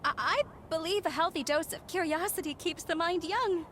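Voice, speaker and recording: High-voiced, playful woman scientist. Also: moderate pace, a little hiss or hum. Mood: comic, excitable. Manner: playful, theatrical, expressive. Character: eccentric, eager young female scientist and monster-hunting companion. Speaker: video game character voice. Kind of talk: anime-style game voice acting. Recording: voice over background game music.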